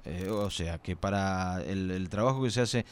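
A man's voice: low-pitched, normal speed, smooth. An Argentine man hosting an informal radio interview, chatty and loose in the way he phrases things. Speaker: radio host.

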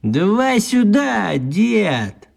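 A drawling young hooligan. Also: male voice, sits high, sing-song, very slow, gravelly, a little mellow, full character voice. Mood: mocking. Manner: drawling, mocking. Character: rude young male street hooligan. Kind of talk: radio play acting.

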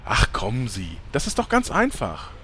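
A man's voice: mid-range voice, huge pitch swings, moderate pace, a bit bright, slight background noise. A man playing a relentlessly chatty, annoyingly cheerful sidekick in a German comedy audio drama. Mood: chirpy, pestering. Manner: chirpy, pestering, theatrical.

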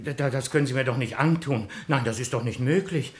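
A man's voice: medium pitch, fairly quick, natural voice. An actor playing a meek, earnest, middle-aged petitioner in a 1960s radio play, politely hesitant and naively literal as he pleads. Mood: pleading.

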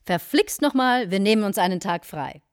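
An actress playing an insistent married hostess in a German radio play, formally polite with a barbed, emphatic edge. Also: female voice, mid-range voice, lively intonation, normal speed, smooth, no echo, studio quality, lightly acted. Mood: narrating anecdote.

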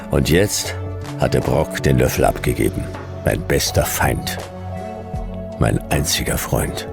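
Hard-boiled detective of fifty, very low pitch, creaky gravel, laconic, sardonic noir radio play. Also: male voice, exaggerated voice. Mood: gloomy. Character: world-weary, hard-boiled fifty-year-old male detective narrating his case. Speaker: lead character and narrator. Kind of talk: noir radio drama narration and dialogue.

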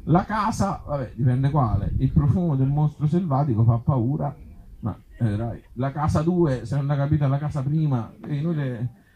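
A man from Italy reading absurd short comic stories aloud to a live gathering, with deadpan humour and offhand, hesitant asides. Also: mid-range voice, moderate pace, muffled recording, slight background noise. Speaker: author and actor reading his comic tales at a live event.

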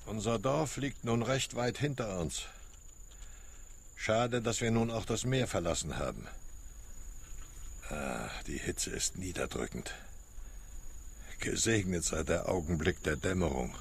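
Deep, gravelly male village traveler. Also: expressive, a little slow, long pauses, full character voice. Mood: weary. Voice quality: gravelly, husky. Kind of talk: radio play acting.

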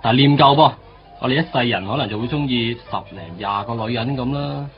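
A man's voice: mid-range voice, a little fast, lightly acted. An actor playing a glib married buddy in his late twenties in a radio drama, lecturing chattily and emphatically about life in Hong Kong Cantonese. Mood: opinionated. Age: 20s. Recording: dull lo-fi radio recording. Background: faint music.

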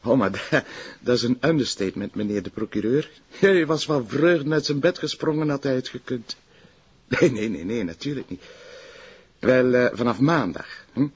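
An actor playing a calm, courteous police detective with a Flemish accent, speaking in a measured way in a television crime drama. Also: male voice, medium pitch, breathy edge, dull audio. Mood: deferential.

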